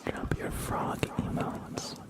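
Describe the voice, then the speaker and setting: A man hosting a casual ASMR livestream in whispers, musing aloud as he chats. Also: slow pace. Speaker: livestream host.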